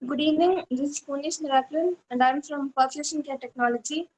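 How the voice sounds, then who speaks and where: A woman's voice: sits high, steady tone, fast talker, rough recording. A woman giving a slightly hesitant student self-introduction.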